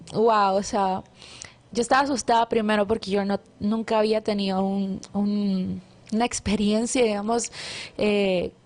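Guatemalan woman sharing faith testimony. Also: mid-range voice. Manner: warm devout storytelling with many fillers and restarts. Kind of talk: casual testimony interview.

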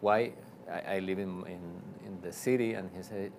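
Low-voiced Argentine priest, halting speech. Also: male voice, fairly even intonation, gravelly, darkish tone, a little hiss or hum. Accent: Argentine Spanish. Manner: halting, many fillers and restarts. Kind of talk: casual interview storytelling.

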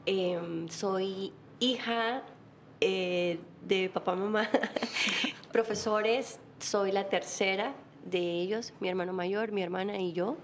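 A woman's voice: mid-range voice, fairly even intonation, slow, a little hiss or hum. Laughing woman telling her story. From Colombia. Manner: laughs often, warm storytelling. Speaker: guest. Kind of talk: casual interview chat.

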